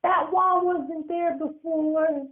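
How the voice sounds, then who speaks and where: A storyteller voicing a scared, cautious little boy in a high, nervous, pleading voice, in a spooky tale told aloud. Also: steady tone, slow. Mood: worried. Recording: tinny phone-like audio.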